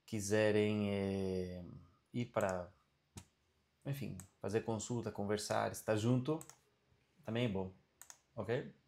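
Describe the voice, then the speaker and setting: A man teaching a casual online class on Vedic studies and Ayurveda over a video call, relaxed and often checking in with his listeners. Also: fairly low pitch, slow, gravelly, pauses often.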